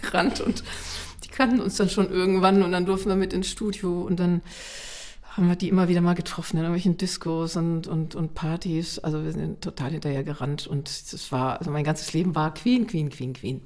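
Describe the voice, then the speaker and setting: A middle-aged woman from Munich, chatty and expressive, telling personal stories as an interview guest. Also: on the low side, brisk. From Munich, Germany. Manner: chatty, expressive, acts out remembered remarks. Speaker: interview guest, children's songwriter and singer. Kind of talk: animated personal storytelling.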